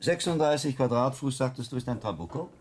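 An actor playing a shrewd, authoritative middle-aged monk who questions people in a measured, pointed way in a radio drama. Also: male voice, medium pitch, lively intonation, a little mellow, a little hiss or hum, close to an everyday voice. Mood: calm, veiled threat.

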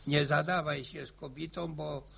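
An actor playing a pious, garrulous old villager in a radio drama, a husky voice and a halting, stammering delivery that repeats words. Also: male voice, a little high, fairly even intonation, fairly bright tone, a little hiss or hum. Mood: reminiscing. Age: older.

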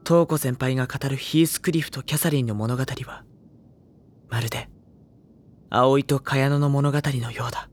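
An actor playing a reflective, polite teenage schoolboy, narrating an audio drama in a measured, introspective way. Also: medium pitch, steady tone, a little hiss or hum, natural voice. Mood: pensive. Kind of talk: Japanese audio drama, first-person narration. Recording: background music under voice.

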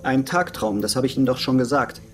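A man's voice: mid-range voice, a little fast, soft background music, close to an everyday voice. Guy acting a touchy bitter busybody suspect in a radio play, medium voice, always defensive. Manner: defensive, aggrieved. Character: resentful, defensive male busybody under suspicion. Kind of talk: radio drama dialogue.

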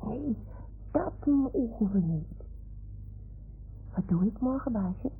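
A woman's voice: on the high side, lively intonation, takes it slowly. A woman voicing a naive, chatty toy dog in a radio play, with a childlike, questioning manner that seeks approval. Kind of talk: 1960s-70s Dutch radio drama acting. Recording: muffled, phone-like old radio recording.

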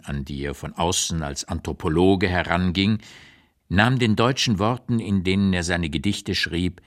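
An actor playing an aged male writer who looks back on a dead friend in a deliberate, emphatic literary monologue for radio. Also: low voice, fairly bright tone. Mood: pensive, admiring. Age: older. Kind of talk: literary reading, dramatized memoir monologue.

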